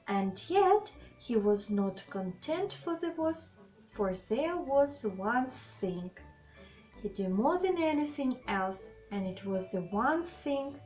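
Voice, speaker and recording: Lady reading a kids picture book super slowly, bit muffled recording, music behind. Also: mid-range voice. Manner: slow, deliberate, animated phrasing with questions to the child listeners. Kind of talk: expressive read-aloud bedtime story with asides to young listeners. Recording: slightly dull, lo-fi sound with music.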